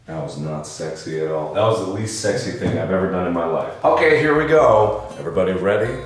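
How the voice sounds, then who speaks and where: A man in his twenties hosting a joking vlog, hoarse and gravelly from being sick, talking loosely with loud bursts. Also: deep voice, expressive, a little fast, over music. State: hoarse from illness. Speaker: YouTube host. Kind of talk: casual comedic vlog. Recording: echoey room.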